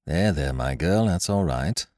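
A man's voice: sits low, animated, normal speed, darkish tone, dry recording, studio quality. A man voicing an impatient, matter-of-fact middle-aged police inspector. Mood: brisk.

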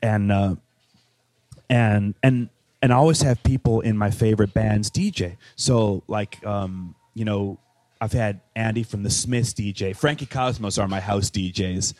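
Rambling Chicago man, low and dry. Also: fairly even intonation, measured pace, darkish tone, dry recording. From Chicago, with Welsh roots. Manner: rambling, many fillers and restarts, enthusiastic. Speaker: interview guest, musician and DJ. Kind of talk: casual interview chat.

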